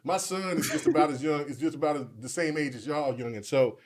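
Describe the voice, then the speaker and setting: A man in his forties from Oklahoma hosting a casual podcast chat, animated and repetitive, bantering and swearing freely. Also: a little high, fairly quick. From Oklahoma, USA. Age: 40s. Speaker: podcast host.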